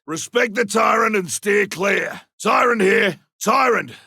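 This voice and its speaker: Game role: rugged Australian trapper, cocky and blunt, husky voice with a hard bright edge. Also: male voice, high voice, measured pace, dry recording, studio quality, heavily acted. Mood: shouted warning. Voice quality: gravelly, rough. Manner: colloquial, blunt, forceful delivery. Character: gruff male monster hunter, rugged, cocky, seasoned trapper. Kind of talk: video game combat barks and callouts.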